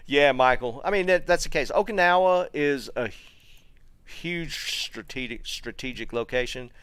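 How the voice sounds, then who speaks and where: A man's voice: medium pitch, normal speed, smooth, dry recording. A middle-aged man with a Southern American accent from North Carolina, chatting casually while streaming a game and answering viewer comments, with plenty of fillers and restarts. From North Carolina, USA. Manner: relaxed, rambling, many fillers and restarts.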